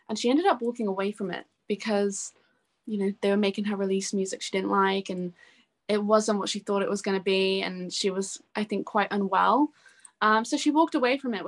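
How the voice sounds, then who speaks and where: Young woman chatting in an interview, medium pitch, gushy and giggly, pokes fun at herself. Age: 20s. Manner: effusive, self-deprecating, giggly. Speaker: singer-songwriter being interviewed.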